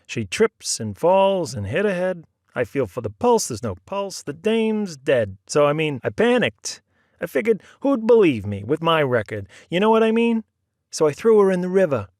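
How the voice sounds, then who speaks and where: A man's voice: sits high, very animated, moderate pace, darkish tone, no echo, clean studio sound, pitched above the performer's own voice. A man voicing a panicky male ex-convict who rambles and pleads, in a story reading. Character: panicky male ex-con confessing.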